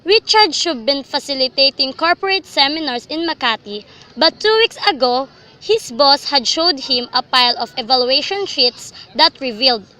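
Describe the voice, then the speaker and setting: A woman's voice: high-pitched, pushed and bright. A young woman reading scripted literary narration for a student radio drama. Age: young adult.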